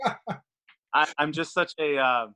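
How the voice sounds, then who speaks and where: A man's voice: a little high, a little slow, sharp tone, clear, resonant tone. A man, a throwing coach, chatting casually and often repeating his words as he talks. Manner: stammering, repeats words, laughs easily. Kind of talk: casual podcast chat.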